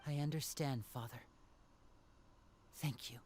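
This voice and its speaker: A man's voice: medium pitch, fairly even intonation, slow pace, darkish tone, a little hiss or hum, lightly acted. An actor playing an earnest, loyal young man in a video game, sincere and resolute about protecting his family. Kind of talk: scripted video game dialogue.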